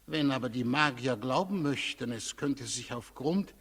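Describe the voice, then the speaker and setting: An actor playing a cautious, evasive high priest in a 1960s radio play, deferential, solemn and ceremonious. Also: male voice, lively intonation, a little slow, a bit bright, husky. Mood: obsequious, careful. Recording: 1960s radio recording, reverberant hall.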